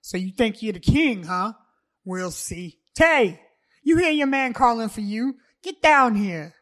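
A woman's voice: medium pitch, normal speed, pushed and bright, natural voice. A woman reading the part of a brash, jealous ex-boyfriend who bellows and taunts. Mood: swaggering, taunting.

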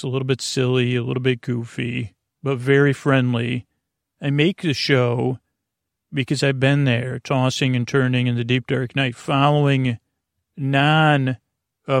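A man hosting a bedtime podcast, talking through a long, meandering, unhurried intro meant to ease listeners toward sleep. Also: medium pitch, gravelly, no echo, studio quality. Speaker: podcast host.

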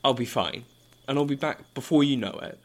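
A man's voice: medium pitch, fairly quick, close to an everyday voice. A man playing an awkward, earnest half-elf adventurer in a fantasy audio drama. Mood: concerned, reassuring.

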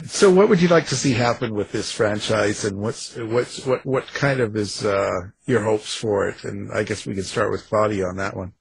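Guy hosting a chat show interview, really husky rough voice, informal, trips over questions. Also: on the low side, measured pace, rough recording. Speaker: interview host.

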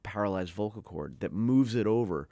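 Middle-aged guy, lowish voice, rambling chatty story, lotsa "like", dry close mic. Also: lively intonation, normal speed, vocal fry, warm tone, no echo. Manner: chatty, lots of "like", acts out quoted voices. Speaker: guest. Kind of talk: casual storytelling in an interview.

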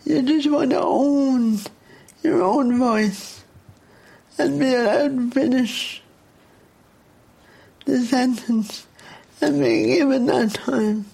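A woman with a Dublin accent and a speech impediment, drawing out each word in a very slow, deliberate way. Her voice is gravelly and husky, and she talks with open, reflective candour. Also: high-pitched, dark tone. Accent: Dublin Irish. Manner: candid, reflective, emotionally open. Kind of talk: documentary interview.

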